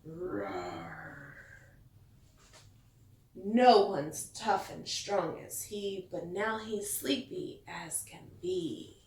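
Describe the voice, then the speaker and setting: Echoey distant recording, woman, storytime read-aloud for kids, slow measured pace, sing-song phrasing, stressed rhymes. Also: lowish voice, very animated, darkish tone, a little hiss or hum. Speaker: teacher reading a picture book to children. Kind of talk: bedtime story read-aloud with asides to young listeners. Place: echoey indoor room. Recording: echoey room, distant mic.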